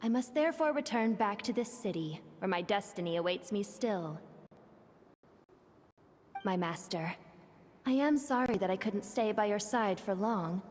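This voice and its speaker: A woman voicing a solemn, courtly spirit in an anime game, speaking in formal, halting, mournful phrases. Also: medium pitch, expressive, darkish tone, natural voice. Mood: solemn farewell. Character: solemn, courtly female spirit bound to destiny. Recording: long reverb, faint noise.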